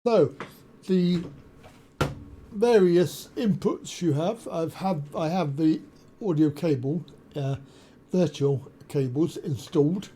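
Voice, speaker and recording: A man hosting a tech tutorial, explaining a software setup step by step with frequent pauses and ums on a desktop USB mic at his home computer desk. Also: fairly high pitch, a little hiss or hum. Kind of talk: screen-share software walkthrough. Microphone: desktop USB condenser mic.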